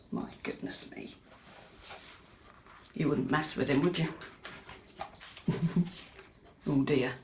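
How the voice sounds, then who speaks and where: A British woman teacher reading a picture book aloud to young children, expressive and chatty, often asking her listeners questions. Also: low voice, slight background noise. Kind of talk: animated children's storytime read-aloud with chatty asides. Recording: echoey, reverberant room.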